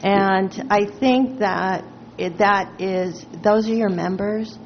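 Slow-speaking woman board member, pointed questions, muffled meeting audio. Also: medium pitch, steady tone, a little hiss or hum. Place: public meeting room.

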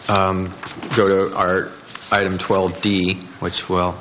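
A man with a low, very even voice chairs a public meeting. He gives procedural directions informally, restarting as he goes. The recording is noisy. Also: slow pace, muffled recording.

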